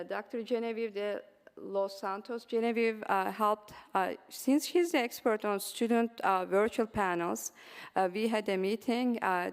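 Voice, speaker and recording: A woman's voice: mid-range voice, vocal fry, clean tone, long reverb tail. A woman from Turkey with a Turkish accent, giving an earnest conference talk on teaching, with many ums and uhs. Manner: frequent um and uh fillers, earnest and encouraging. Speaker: presenter. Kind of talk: conference presentation. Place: symposium hall.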